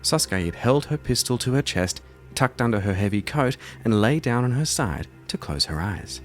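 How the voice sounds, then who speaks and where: A man's voice: medium pitch, expressive, moderate pace, over music. A man hosting and narrating a solo role-playing audio drama, moving between scripted storytelling and casual commentary.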